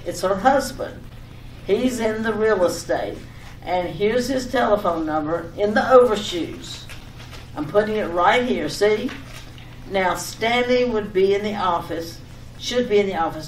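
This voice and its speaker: An actress playing a breezily insistent, mildly exasperated wife who coaxes and over-explains in old-time radio domestic comedy style. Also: female voice, medium pitch, close to an everyday voice. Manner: chattering, coaxing, over-explaining. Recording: echoey, distant, slightly noisy old radio recording.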